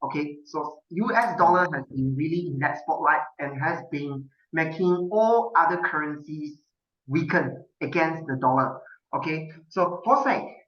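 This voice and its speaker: A man hosting a podcast interview over a video call, often tagging his sentences with "okay?". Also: a little high. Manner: ends points with a checking "okay?". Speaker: host. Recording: dull roomy video call audio.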